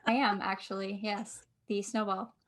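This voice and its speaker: A woman's voice: mid-range voice, flat delivery, moderate pace. A woman cast member chatting casually into a microphone on a video call. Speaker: audio drama cast member. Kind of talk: casual chat.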